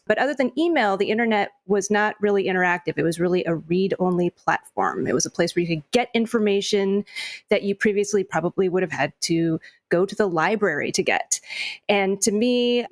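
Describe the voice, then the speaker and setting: A middle-aged woman hosting a wine podcast, chatty and upbeat, laughing easily. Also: medium pitch. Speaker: podcast host. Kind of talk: casual podcast chat and sponsor read.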